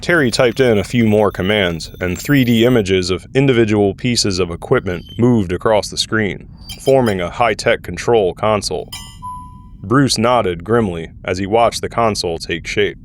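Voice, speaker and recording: A man's voice: fairly low pitch, normal speed, faint music behind. A man reading scripted story narration for an audio drama. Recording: faint ambient noise behind.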